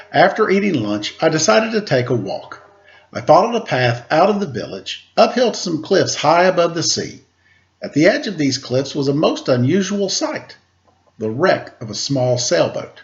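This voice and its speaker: A man's voice: a little high, vocal fry, lo-fi sound. A man speaking in a playful, informal storytelling style for children, into a computer microphone. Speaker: storyteller, host reading picture books aloud. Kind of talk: casual chatty introduction and expressive read-aloud for children.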